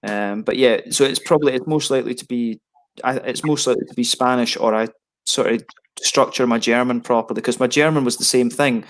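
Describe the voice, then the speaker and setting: A man's voice: mid-range voice, brisk. A man in his thirties chatting casually on a video call through a headset, rambling with lots of restarts and fillers. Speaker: beer reviewer on a video hangout. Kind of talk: casual chat.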